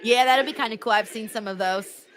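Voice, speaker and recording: A young woman streamer with a naturally high, bright-sounding voice chats casually with her viewers. She reads their comments aloud and thanks people by name, often breaking into giggles between lines. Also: a little fast, crowd noise. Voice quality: high-pitched voice. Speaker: live stream host reacting to wrestling.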